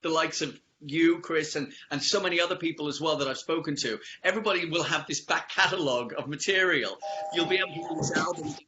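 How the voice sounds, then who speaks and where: A man's voice: fairly high pitch, fast, bright, forceful tone, dull audio, a little hiss or hum. A man working as a radio interviewer, chatty and upbeat, often ending his remarks by checking for agreement. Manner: upbeat, chatty, tag questions.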